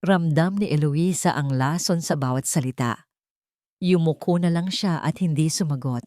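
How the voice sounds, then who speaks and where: A woman's voice: low voice, normal speed, mellow, no echo, clean studio sound. A woman storyteller reading a scripted, dramatic narration of a love story.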